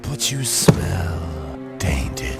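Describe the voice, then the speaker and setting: An actor playing a haughty, cruel vampire overlord in a video game, with a low gravelly voice and a theatrical, condescending manner. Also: male voice, big pitch swings, drawn-out words, heavily acted. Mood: smug menace. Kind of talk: video game cutscene acting. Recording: loud music behind the voice.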